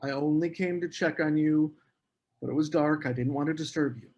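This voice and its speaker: A man playing a husky, uneasy, polite gentleman. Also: fairly high pitch, fairly even intonation, fairly quick, highs cut off, natural voice. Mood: guarded. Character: anxious, evasive gentleman lodger, politely making excuses. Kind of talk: screenplay table read.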